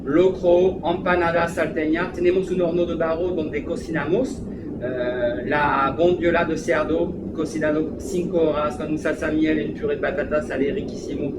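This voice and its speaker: Brief: French-accented man, hesitant restaurant manager, slightly high voice, echoey noisy room. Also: fairly even intonation, moderate pace. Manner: hesitant, many fillers. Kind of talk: interview. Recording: echoey room, background noise.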